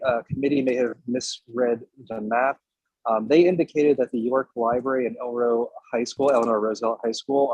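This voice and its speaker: A hoarse man speaking up at a community board meeting, hesitant with many fillers but polite. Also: medium pitch, a little mellow, no room sound, low-quality recording. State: hoarse from illness. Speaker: public commenter.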